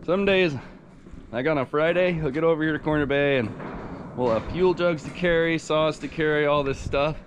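A man in his thirties, slightly hoarse from a cold, chatting in a relaxed, upbeat way with lots of fillers while filming himself outdoors on a dock by a bay. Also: a little high, normal speed, muffled recording, background noise. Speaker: sawmill owner vlogging his workday. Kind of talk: casual outdoor vlog. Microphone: action camera held at arm's length.

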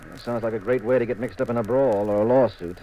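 An actor in a 1950s radio drama playing a wry, skeptical detective with a dry, unimpressed manner. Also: male voice, medium pitch, fairly even intonation, quick pace, mellow, natural voice. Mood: wry, wary. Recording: noisy old 1950s radio recording.

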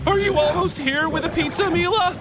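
A man's voice: extremely high pitch, a little fast, heavy background noise. A man playing a middle-aged, anxious, flustered cartoon dad in a children's show, hesitant and full of exclamations. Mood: anxious, urgent. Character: frazzled, anxious cartoon dad minding a hungry pet.

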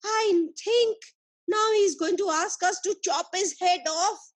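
A woman voicing frightened, scandalised male soldiers in a folk tale, alarmed and hurried. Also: very high voice, fairly bright tone. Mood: shocked.

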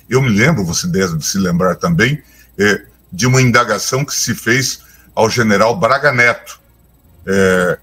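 A man with a Brazilian accent speaking in measured, formal remarks. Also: medium pitch, a bit bright, slightly rough. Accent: Brazilian Portuguese. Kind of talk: interview remarks.

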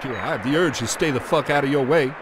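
An American actor playing a brash, sarcastic, wisecracking cop in a video game gunfight. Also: male voice, mid-range voice, fast talker, crowd noise, background noise, natural voice. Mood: cocky. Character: cocky wisecracking male Miami cop in a gunfight.

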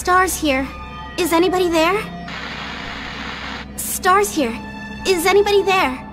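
Young rookie cop in a game, very high voice, urgent, music behind. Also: female voice, a little slow. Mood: tense, alert. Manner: urgent, calling out. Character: earnest young female rookie special-forces officer.

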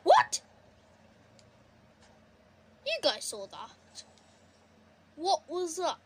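A young woman giving casual, excitable commentary while playing a console game, her voice going a bit hoarse. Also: extremely high pitch, extremely slow. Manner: chatty, excitable, reacting to the game. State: losing her voice. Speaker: gaming streamer. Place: indoors at a console. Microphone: phone filming a TV screen.

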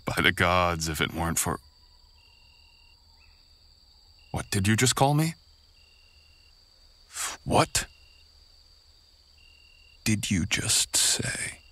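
A man playing a menacing, bitter, seductive samurai in an intimate ASMR roleplay, husky and breathy, often dropping into a whisper, with a taunting manner. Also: low voice, big pitch swings, takes it slowly, pauses often, sharp tone, exaggerated voice. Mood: offended.

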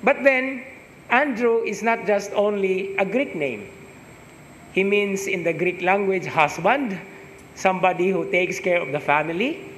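Middle-aged Filipino priest, Cebuano accent, high tenor voice, reflective homily style with tag questions, masked at an altar mic in a reverberant church. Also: male voice, measured pace, a bit bright. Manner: reflective, mixes English with Cebuano, tag "no?" after points. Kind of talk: homily at Mass. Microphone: stand mic in front, wearing a face mask. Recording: echoey church with long reverb, faint hiss.

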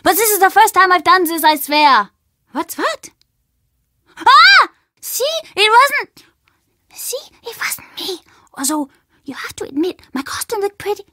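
Woman as cheeky little boy, high bright voice, playful, childlike, video game character. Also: sing-song, measured pace, long pauses, dry recording, full character voice. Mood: excitable. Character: mischievous, playful young boy at a castle.

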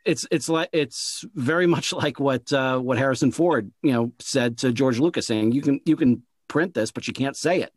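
A man's voice: medium pitch, normal speed, few pauses, dry recording. A man hosting an interview, chatting casually and repeating words as he thinks aloud. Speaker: interview host and producer.

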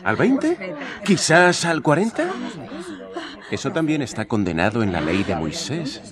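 Bold, taunting prophet for radio drama: high male voice, crowd around him. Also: sing-song, measured pace. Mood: sardonic, challenging. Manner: pointed, taunting rhetorical questions. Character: bold sardonic prophet from Galilee, defender of outcasts. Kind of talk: colloquial radio drama acting. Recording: crowd noise behind the voice.

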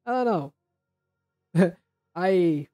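A man chatting casually and haltingly as he hosts a reaction video, rambling in a low-key way. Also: lively intonation, dark tone, faint music behind. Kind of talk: casual chat, reacting to game trailers.

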